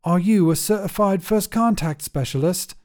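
A man's voice: sits high, normal speed, darkish tone, pitched above the performer's own voice, close to an everyday voice. A man voicing a brusque, bossy female space rescuer in a story, with an urgent, commanding manner. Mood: sharp, impatient.

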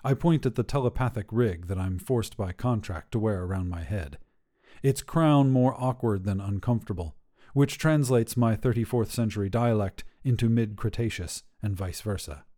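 Mellow, soft-toned male story narrator. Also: lowish voice, moderate pace. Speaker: podcast narrator and producer. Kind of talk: scripted first-person fiction narration.

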